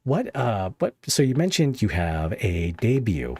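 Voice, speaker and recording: Male host, low-pitched, chatty, dry close headset. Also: sing-song, a little slow, a little mellow, breathy edge, dry recording. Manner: explaining, chatty, self-deprecating. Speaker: podcast host. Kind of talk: casual interview chat.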